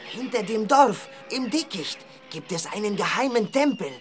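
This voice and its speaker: A woman's voice: medium pitch, expressive, normal speed, faint music behind, lightly acted. A woman voicing a timid but observant African village child in a children's audio drama, earnest and childlike, in simple phrases. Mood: earnest, secretive.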